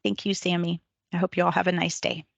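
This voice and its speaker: A woman with a low, gravelly voice, worn thin by a cold. She presents rules to an online meeting in a measured way, with frequent ums. The sound is close and dry. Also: little pitch movement, dry recording. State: weak voice, getting over a cold.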